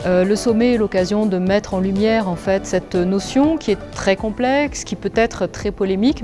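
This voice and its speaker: A woman with a mellow, warm voice explains her view in a measured, orderly way. Soft music plays faintly underneath. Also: mid-range voice. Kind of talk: explanatory interview answer.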